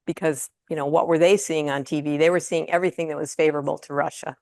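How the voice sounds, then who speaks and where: A woman's voice: deep voice, fairly even intonation, fast talker, vocal fry, a little mellow, no echo, clean studio sound. A retired older woman giving a casual lecture to an audience, with many fillers and restarts. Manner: conversational, frequent fillers and restarts. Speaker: guest lecturer. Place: lecture room.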